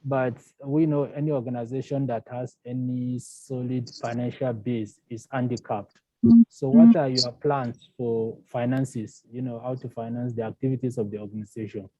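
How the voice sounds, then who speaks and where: Mellow-voiced man asking a question. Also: mid-range voice, steady tone, normal speed, dry recording, poor audio. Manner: stammering, repeats words. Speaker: meeting participant. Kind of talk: asking a question at an online meeting. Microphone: video call.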